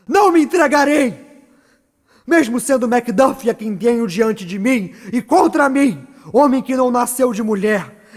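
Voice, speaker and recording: High-pitched tormented tyrant in long reverb. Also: male voice, full character voice. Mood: desperate, defiant. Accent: Brazilian. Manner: dramatic, declamatory, swinging from murmur to cry. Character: tormented, defiant, guilt-ridden male tyrant haunted by bloody memories. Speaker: stage actor. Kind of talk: theatrical Shakespearean monologue. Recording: long reverberant echo around the voice.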